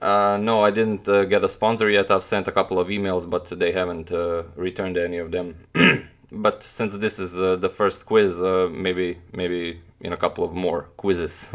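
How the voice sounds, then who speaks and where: A man's voice: low voice, little pitch movement, normal speed, hardly any pauses, fairly bright tone. A young man with a Croatian accent, chatting loosely with viewers on a live stream, hesitant with many fillers. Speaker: chess streamer answering chat. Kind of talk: casual live-stream chat.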